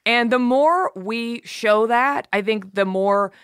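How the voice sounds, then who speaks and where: A woman with a deep voice chatting casually as a podcast guest, animated, with playful asides in her own thoughts. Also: takes it slowly, smooth, no echo, studio quality. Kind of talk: casual chat.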